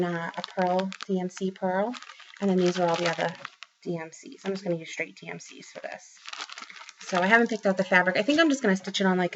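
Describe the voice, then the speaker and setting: A woman's voice: fairly low pitch, a little hiss or hum. A woman in her forties with a Boston accent, chatting to camera about her craft haul, rambling with lots of ums and restarts. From Boston area, Massachusetts. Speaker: craft vlogger. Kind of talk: casual chat to camera. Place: small room at home, indoors. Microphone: webcam close up. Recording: dull lo-fi webcam sound.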